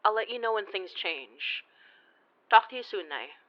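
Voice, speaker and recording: A woman acts as a friendly but weary female investigator in a naturalistic audio drama. Her voice sits at an average pitch, and she talks casually and a little hesitantly, as in everyday conversation. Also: lively intonation, moderate pace, lo-fi sound, close to an everyday voice. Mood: casual, distracted.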